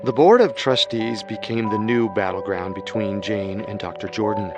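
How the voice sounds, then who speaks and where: A man with a somewhat low voice narrating a true-crime radio drama. He speaks in a grave, ominous way, with music under his narration. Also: normal speed, lo-fi sound.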